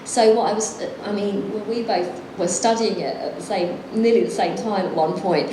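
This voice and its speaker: A woman's voice: mid-range voice, moderate pace, echoey room, background noise. A middle-aged woman author reading from her book and then chatting, self-deprecating and laughing often. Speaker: author and guest. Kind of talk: reading aloud then conversation. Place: event venue.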